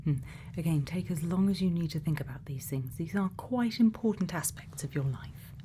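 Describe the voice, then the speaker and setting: A woman playing a gentle, quietly kind scientist in a soft, often whispered ASMR roleplay, soothing and reassuring with many pauses. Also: on the low side, a little hiss or hum, natural voice. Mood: gently curious. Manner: slow, soothing, pausing, reassuring. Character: gentle female scientist, quietly kind, programming a robot friend.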